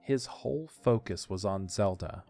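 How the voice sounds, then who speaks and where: A man's voice: lowish voice, moderate pace, warm tone, faint music behind. A man narrating a scripted audio drama as its storyteller.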